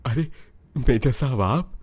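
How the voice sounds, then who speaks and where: A man's voice: medium pitch, lively intonation, takes it slowly, a little mellow. A man playing a humble, grateful poor village eatery owner in a cartoon moral story.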